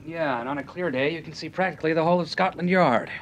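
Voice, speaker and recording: An actor playing a jittery, slangy club owner with gangster bluster in an old radio drama, excitable and wisecracking. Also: male voice, fast, lightly acted. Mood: wry, chatty. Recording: rumbly, noisy old radio recording.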